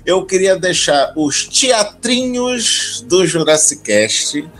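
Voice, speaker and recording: A man's voice: high-pitched, very animated, slow, fairly bright tone, soft background music. A Brazilian man hosting a casual podcast conversation. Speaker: podcast host.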